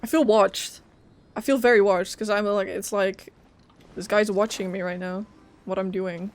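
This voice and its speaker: A woman's voice: medium pitch, fairly even intonation, normal speed. A woman streaming a game, reacting casually in a playful, candid, chatty way. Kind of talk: casual gameplay reaction and reading along.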